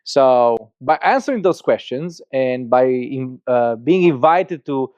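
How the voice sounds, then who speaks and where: A man's voice: medium pitch, lively intonation, takes it slowly, a little mellow, dry recording. A middle-aged man from Romania with a Romanian accent, a podcast guest telling his story in earnest, with many restarts and repeated words. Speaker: guest, church planter. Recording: slightly muffled dry podcast audio.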